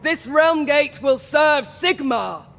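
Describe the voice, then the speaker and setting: An actress playing a proud, righteous holy warrior in a fantasy game, solemn, commanding and declamatory. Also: female voice, sits high, lively intonation, slow, a bit bright, long reverb tail, slight background noise, exaggerated voice. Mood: defiant. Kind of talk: dramatic fantasy game acting.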